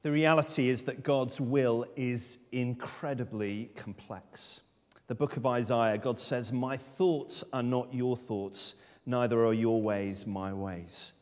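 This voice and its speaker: A man's voice: mid-range voice, steady tone, slow pace, darkish tone. A man in his thirties preaching a sermon at a lectern in a church, earnest and emphatic. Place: church sanctuary, speaking at a lectern. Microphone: far camera. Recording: long church reverb.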